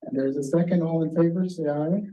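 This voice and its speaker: A man chairing a city advisory board meeting, running through procedure with a slightly hoarse voice. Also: on the high side, fairly even intonation, fairly quick, dull audio. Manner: procedural, methodical.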